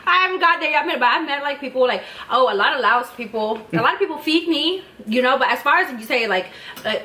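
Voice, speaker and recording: A woman's voice: high-pitched, animated, fast, sharp tone. A woman from the US East Coast, a podcast guest talking in a playful, rambling way. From DMV area, US East Coast. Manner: playful, rambling, laughs easily. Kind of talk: casual chat.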